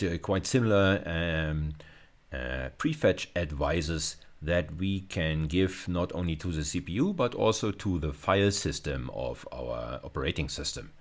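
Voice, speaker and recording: A man giving a technical video lecture as a university lecturer, explaining things hesitantly with frequent pauses. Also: low voice.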